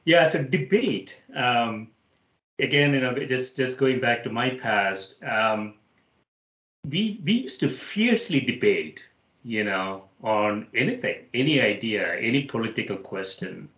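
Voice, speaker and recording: A man's voice: medium pitch, expressive, measured pace, fairly bright tone. Echoey male interview host from India. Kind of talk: casual conversational interviewing.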